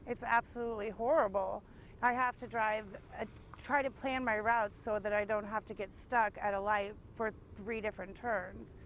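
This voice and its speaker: A woman's voice: medium pitch, fairly even intonation, moderate pace, low-quality recording. A woman giving an emphatic, complaining, unscripted answer in a street interview. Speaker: local interviewee. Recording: clear ambient vehicle noise.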